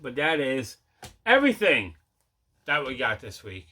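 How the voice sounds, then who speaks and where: A man with a bright, forceful voice presents a collecting show in a casual, chatty way. He sounds enthusiastic and circles back over his own phrases. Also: mid-range voice, huge pitch swings, measured pace. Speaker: host of a collecting video show. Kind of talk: casual unboxing vlog chat.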